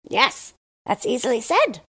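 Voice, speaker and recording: A woman's voice: a little high, measured pace, husky, pitched above the performer's own voice, exaggerated voice. A woman voicing a chatty, boastful male crow in a storybook reading. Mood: thoughtful. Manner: lively, chattering and eager to gossip.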